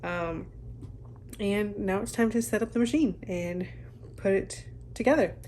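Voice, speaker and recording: A woman's voice: mid-range voice, a little slow, a little hiss or hum. Young woman chatting, slightly stuffy nose. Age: young adult. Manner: relaxed, chatty, many ums. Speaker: small business owner vlogger. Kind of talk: casual vlog chat. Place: home craft room. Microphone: phone camera at arm's length.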